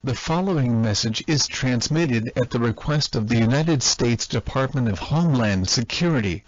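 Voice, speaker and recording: Flat, robotic male emergency alert announcer, muffled. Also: mid-range voice, no room sound. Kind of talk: scripted emergency broadcast announcement.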